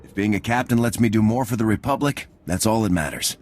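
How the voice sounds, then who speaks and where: A man's voice: lowish voice, quick pace, a little mellow, slight background noise, natural voice. A man playing a resolute, duty-bound special forces captain in a video game, firm and plain-spoken. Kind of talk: scripted video game dialogue.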